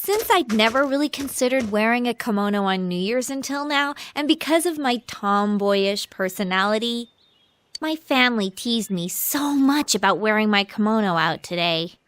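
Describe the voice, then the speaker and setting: An actress playing a bashful, earnest tomboyish teenage girl in anime game dialogue, halting and self-conscious. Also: on the high side, expressive, a bit bright, dry recording. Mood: bashful, eager. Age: young adult.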